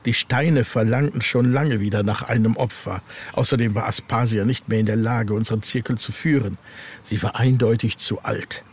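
A man's voice: on the low side, steady tone, moderate pace, breathy edge. A man reading the part of an arrogant, scheming young witch from a horror novel. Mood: cold, scheming. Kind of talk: read-aloud horror novel dialogue.

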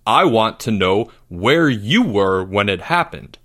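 Man voicing a stern, deliberate schoolteacher. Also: fairly low pitch, sing-song, fairly bright tone, heavily acted. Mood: stern, cold. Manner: deliberate, pronouncing every word. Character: stern, coldly probing male schoolteacher interrogating pupils.

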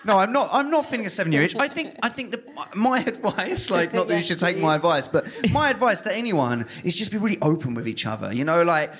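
Male comic guest, lively up-and-down delivery, rambling and self-deprecating, lots of fillers. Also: high voice, quick pace, few pauses, crowd noise. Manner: chatty, self-mocking, full of fillers and restarts. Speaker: comedian and impressionist guest. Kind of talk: casual live podcast chat. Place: live club stage with audience.

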